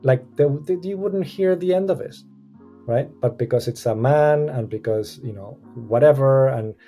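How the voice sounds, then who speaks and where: Mellow-voiced man, animated talk with restarts. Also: medium pitch, moderate pace, faint music behind. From Costa Rica. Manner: animated, restarts often, acts out quoted speech. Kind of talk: casual podcast discussion.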